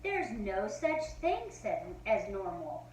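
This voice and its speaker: A woman's voice: medium pitch, sing-song, slow pace, darkish tone. A woman voicing a cheerful mother proud of her daughter in a children's book read-aloud. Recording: roomy echo, far from the mic, faint hum.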